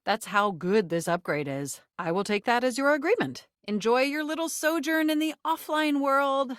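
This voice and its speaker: Perky, vain female computer character for a dating game; gushing, flirtatious, highly melodic, recorded dry. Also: lively intonation, normal speed, no echo. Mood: breezy, persuasive. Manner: chirpy, gushing, theatrical, self-satisfied. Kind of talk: video game character voice acting.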